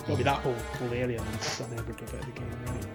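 A man's voice: medium pitch, steady tone, rapid-fire. A man co-hosting a podcast, explaining game details in a casual, hesitant way. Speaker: podcast co-host. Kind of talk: casual gaming discussion. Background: background music.

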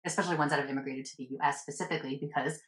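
A woman with a low voice talks fast and playfully, often slipping into acted-out quotes. She sounds like she is having a casual podcast chat. The room around her is echoey. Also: fairly even intonation. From United States, Filipino family.

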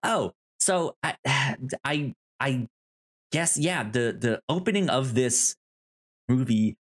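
A man co-hosting a podcast, discussing films casually in a halting, rambling way with easy laughter. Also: mid-range voice, lively intonation. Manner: rambling, stammering, laughs easily. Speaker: podcast co-host.

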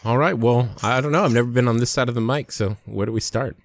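An American man in his forties from Hawaii talking casually as a podcast host, often halting and restarting his thoughts. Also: mid-range voice, no room sound. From Hawaii, United States. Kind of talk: casual conversational podcast talk.

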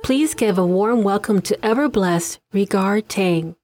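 A woman's voice: mid-range voice, measured pace, a little mellow, faint music behind. A woman hosting a podcast, reading the guest introduction and asking questions in an upbeat, encouraging way. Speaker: podcast host. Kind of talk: podcast interview.

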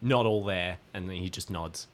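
A man's voice: deep voice, normal speed. A man playing in an improvised audio drama, laid-back and amused. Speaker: player.

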